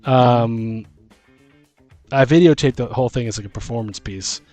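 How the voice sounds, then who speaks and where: A man's voice: medium pitch, moderate pace, warm tone, faint music behind. A man co-hosting a podcast in a casual, rambling, jokey way, acting out little bits of dialogue as he goes. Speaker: podcast co-host. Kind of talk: casual chat.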